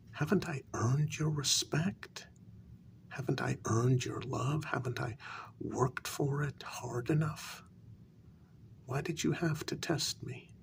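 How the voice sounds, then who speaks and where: A man playing a wounded, bitter vampire in a soft-spoken ASMR role-play, speaking in hushed, deliberate half-whispers with a gravelly voice. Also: on the low side, a little hiss or hum, exaggerated voice. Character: wounded, bitter male vampire confronting his queen.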